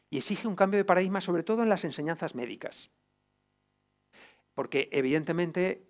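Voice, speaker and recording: A man with a Castilian Spanish accent and a fairly high voice gives an informal lecture. He is emphatic and colloquial, often checking that his listeners agree. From Spain. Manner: colloquial, emphatic, seeks agreement with tag questions. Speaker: lecturer, doctor. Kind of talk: informal lecture to a group of health professionals.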